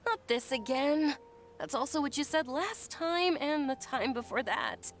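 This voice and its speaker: A sheltered young lady of wealth in a game, bright and high-pitched for a woman. She speaks gently and coaxes her friend, game music behind her. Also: animated, unhurried. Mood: pleading. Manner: gentle, pleading. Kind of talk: game voice acting.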